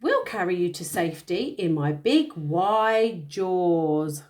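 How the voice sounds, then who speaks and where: A woman's voice: on the low side, lively intonation, slow, darkish tone. Give me a woman playing a sly, coaxing alligator from a picture book. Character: sly male alligator offering help.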